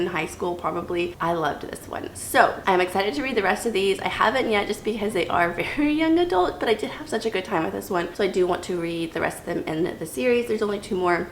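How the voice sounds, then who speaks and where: A woman's voice: lowish voice, reverberant, slight background noise, poor audio. A woman in her thirties chatting casually and enthusiastically to viewers as a book vlogger, sounding slightly stuffy and repeating words for emphasis. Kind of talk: casual chatty reading wrap-up to camera.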